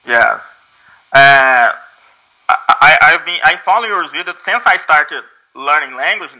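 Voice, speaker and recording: A Brazilian man with a Portuguese accent talks in English, his native Portuguese showing through. His voice sits a little high and sounds bright and sharp. He hesitates constantly, with fillers and restarts as he searches for words. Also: animated, unhurried, poor audio. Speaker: guest, English learner. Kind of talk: casual conversation.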